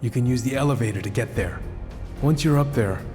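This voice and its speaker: Male actor as pragmatic corporate insider, world-weary, medium pitch, hall reverb, video game cutscene. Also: a little fast, a little mellow, faint music behind, natural voice. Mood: businesslike, wary.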